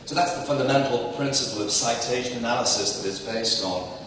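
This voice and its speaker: A man's voice: mid-range voice, a little fast, distant mic, a little hiss or hum. Man lecturing in an echoey hall. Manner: loud, energetic presenter with fillers. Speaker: conference speaker. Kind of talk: conference talk on search. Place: large conference room. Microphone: distant, mic trouble.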